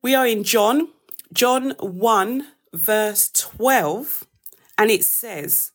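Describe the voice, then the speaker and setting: A woman with a croaky voice hosting a live daily devotional, praying and then teaching a Bible verse in a casual, chatty way. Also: fairly high pitch, extremely slow, a bit bright. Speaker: devotional host.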